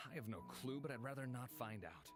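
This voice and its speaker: A man's voice: mid-range voice, lively intonation, moderate pace, close to an everyday voice. A man playing a cautious, practical, matter-of-fact traveling companion in a visual novel. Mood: cautious, brisk. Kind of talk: visual novel character acting. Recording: music behind the voice.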